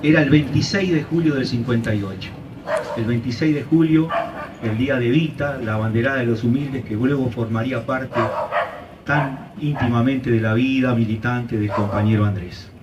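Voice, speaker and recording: A man's voice: medium pitch, normal speed, recorded from a distance, highs cut off, background noise. Earnest Argentine man giving a memorial speech. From Argentina, Santa Cruz province. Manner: earnest, storytelling, with frequent "eh" and "este" fillers. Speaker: speaker at a memorial ceremony. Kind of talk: commemorative public speech. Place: outdoor street ceremony.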